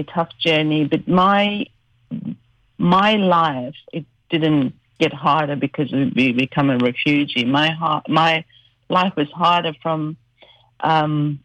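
A woman in her forties from Iran with an Iranian accent, a radio guest telling her life story slowly with many pauses. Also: low-pitched, creaky, no room sound, dull audio. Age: 40s. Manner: slow and hesitant, many "um" pauses. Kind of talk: personal life story interview.

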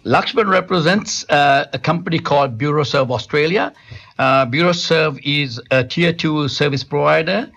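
A man's voice: on the high side, moderate pace, gravelly, a bit bright, slightly rough. A middle-aged man from Sri Lanka, a company founder answering interview questions in a studio, hesitant and full of restarts. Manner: hesitant, many restarts and fillers. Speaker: telecom company founder, guest.

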